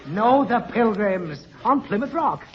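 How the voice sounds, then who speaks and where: Exasperated radio dad, high and animated. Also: male voice, unhurried, a crowd in the background. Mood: growing exasperation. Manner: pedantic, flustered, exasperated. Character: exasperated, long-suffering father explaining things to his daughter. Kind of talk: 1940s radio comedy acting. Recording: muffled noisy old radio recording, studio audience.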